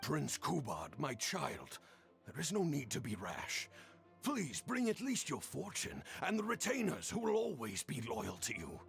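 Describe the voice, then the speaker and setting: An actor playing a fatherly older gentleman in a fantasy game, speaking in a courtly, deliberate, avuncular way. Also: on the high side, huge pitch swings, vocal fry, soft background music, exaggerated voice. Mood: pleading, tender. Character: fatherly old mentor and genteel patron, an older gentleman. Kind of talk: theatrical fantasy video game dialogue.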